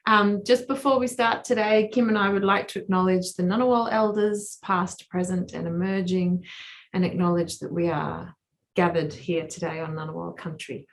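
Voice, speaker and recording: A middle-aged Australian woman interviewing a guest, chatty and upbeat, with quick interjections. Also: on the low side, fairly even intonation. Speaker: interviewer, festival artistic director. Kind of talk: casual interview chat.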